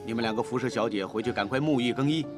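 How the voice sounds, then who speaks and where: An actor playing a calculating, fatherly duke nearing fifty in a Chinese period drama, speaking with measured authority. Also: medium pitch, over music, close to an everyday voice. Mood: soothing, commanding. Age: middle-aged.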